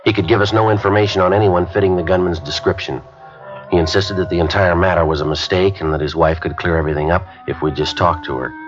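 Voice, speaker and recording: A terse police detective, as an actor plays him in an old radio drama, reading off times and facts in a clipped, deadpan way. His voice sits very low. The broadcast sounds dull and lo-fi, with music under it. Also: fast, lightly acted. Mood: flat narration. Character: terse, matter-of-fact male police detective, adult. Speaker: detective and narrator. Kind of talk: 1950s radio drama acting.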